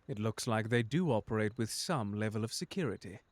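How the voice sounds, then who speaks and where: A man's voice: lowish voice, animated, brisk, no echo, natural voice. A man plays a composed, sardonic butler in an intimate ASMR audio drama. He is formal and courteous, protective of the one he addresses, with an unflappable poise. The sound is dry, with hardly any room to it. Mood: curious, watchful. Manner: formal, polite, dryly sardonic.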